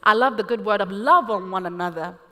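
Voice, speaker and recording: Panelist: woman, mid pitch, earnest and passionate, hall reverb. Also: brisk, bright, forceful tone. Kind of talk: panel discussion. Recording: echoey hall.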